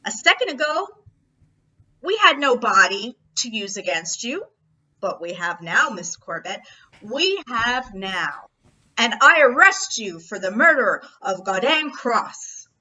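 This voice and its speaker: A woman as a sharp, commanding police captain. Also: on the high side, sing-song, muffled recording, heavily acted. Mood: grim, accusing. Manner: deliberate, emphatic, authoritative. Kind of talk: old-time radio play acting.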